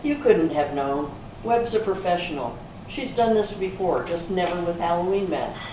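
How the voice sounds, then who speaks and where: Woman acting female police sergeant, low voice, brisk, authoritative, stage reading, distant noisy recording. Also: expressive, close to an everyday voice. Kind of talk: amateur readers theater reading. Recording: echoey room from far off, clear noise.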